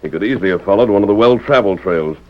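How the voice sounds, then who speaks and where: A muffled old radio recording presents a man acting as a resolute frontier hero. His low voice is earnest and authoritative, with formal, deliberate diction in classic radio drama style. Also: close to an everyday voice. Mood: serious, probing. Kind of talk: 1940s radio western acting.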